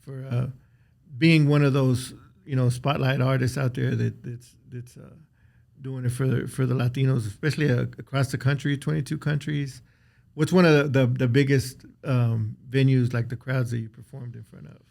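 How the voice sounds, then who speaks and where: A man's voice: medium pitch, fairly even intonation, normal speed, gravelly, a little mellow. A man hosting a casual interview, asking rambling questions full of fillers. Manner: rambling, lots of "you know" fillers. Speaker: interviewer host.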